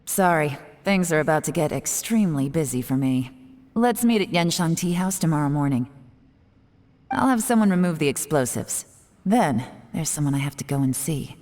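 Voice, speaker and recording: A woman's voice: deep voice, expressive, moderate pace, darkish tone. A woman playing a cool, confident secret operative in a video game, with a composed, businesslike manner.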